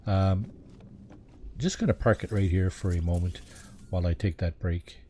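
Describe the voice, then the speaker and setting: Low-voiced man muttering through a stream. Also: moderate pace, slight background noise. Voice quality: low deep voice. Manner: relaxed, muttering, trails off with ums. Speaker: game streamer. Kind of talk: casual live-stream commentary. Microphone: close desk mic with pop filter.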